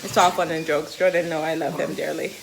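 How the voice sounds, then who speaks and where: A woman's voice: deep voice, brisk, gravelly, noisy background. A woman with a Jamaican accent chatting to her viewers as a mom vlogger, teasing and sassy as she tells her stories. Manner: sassy, teasing, animated storyteller. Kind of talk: casual chatty vlog.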